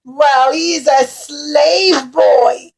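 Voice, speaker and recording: A woman's voice: sits high, steady tone, very slow, vocal fry, heavily acted. A woman voicing a scornful, self-serving male butler in a children's puppet show, dismissive and scheming. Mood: eager, informing.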